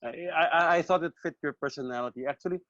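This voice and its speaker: A man's voice: on the high side, rapid-fire, a bit bright, no room sound, lo-fi sound. A man hosting an online talk among composers, reflective, often repeating words as he recalls things. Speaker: composer and host. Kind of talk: casual online chat. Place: video call. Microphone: video call.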